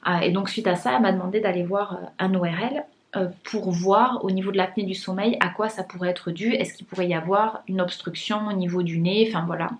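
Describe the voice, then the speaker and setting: A woman's voice: medium pitch, fairly even intonation, brisk, a little hiss or hum. A young woman talking casually to her vlog viewers, with many fillers and restarts and a blocked, congested nose. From France. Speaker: vlogger. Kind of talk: casual vlog chat to camera.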